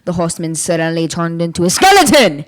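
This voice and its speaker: A woman's voice: fairly low pitch, sing-song. A young female performer playing a village tale-teller, spinning spooky legends with suspense in a radio play. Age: young teen. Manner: deliberate, suspenseful storytelling. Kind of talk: stage radio play acting.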